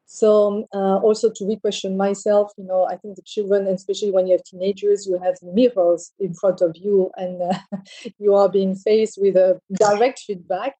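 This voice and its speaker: A woman, answering interview questions in a halting way with many fillers and restarts. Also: mid-range voice, little pitch movement, warm tone, breathy edge, rough recording. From Belgium. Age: 50s. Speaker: bank executive as interview guest.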